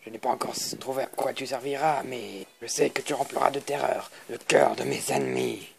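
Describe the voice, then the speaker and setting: A man voicing grumbling, theatrical skeleton brothers in an amateur comic dub, with a gravelly voice. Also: mid-range voice, very animated, brisk. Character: pompous skeleton boss and his weary, sarcastic brother.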